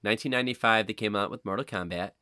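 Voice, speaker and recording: Man, casual podcast talk, bright forceful tone, animated, profane, very dry recording. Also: on the low side, very fast, clear, resonant tone, dry recording. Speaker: podcast co-host. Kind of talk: casual chat.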